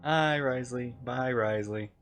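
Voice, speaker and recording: A woman's voice: very deep voice, slow pace, music in the background. A woman streamer casually reacting to a video game, chattily talking back to the characters.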